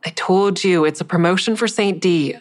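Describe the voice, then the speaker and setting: A woman's voice: lowish voice, moderate pace, no room sound, natural voice. An actress playing a guarded, defiant woman in an audio drama, narrating and speaking in a terse, dry, confiding way. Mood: curt. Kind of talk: first-person audio drama narration and dialogue. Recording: faint music under the voice.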